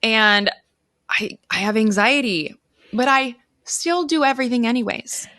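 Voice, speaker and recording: A woman's voice: on the high side, unhurried, pushed and bright, no room sound. A woman speaking as a podcast guest, telling stories casually and emphatically and acting out what people said. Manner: emphatic, informal, acts out quoted speech. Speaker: podcast guest, travel writer. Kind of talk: animated casual storytelling.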